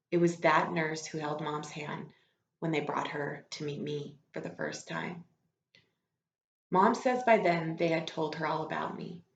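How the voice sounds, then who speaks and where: A woman reading a story aloud like a teacher to students, expressive and informal, with casual asides. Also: low-pitched, gravelly. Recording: echoey room, slightly dull lo-fi sound.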